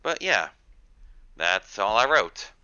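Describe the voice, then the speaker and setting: A man's voice: lowish voice, very animated, takes it slowly, pushed and bright, clear, resonant tone, no room sound, muffled recording. A man with a congested, nasal voice from a cold, reading aloud and casually explaining as a guest author.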